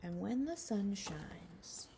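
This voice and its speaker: Female early-years teacher, read-aloud for children. Unhurried, soft-edged tone with fry, slightly noisy recording. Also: mid-range voice, lively intonation. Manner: addresses children directly with guiding questions.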